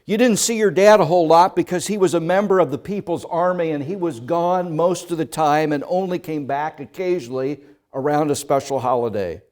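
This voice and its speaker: A male pastor preaching a sermon, telling a story in the second person and swelling from hushed passages to loud ones. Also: fairly high pitch, brisk.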